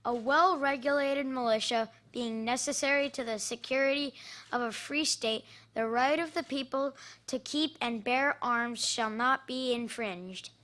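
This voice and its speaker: A woman with a high voice reads a short legal text aloud. The delivery is that of a formal public reading. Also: a little slow, fairly bright tone. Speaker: reader.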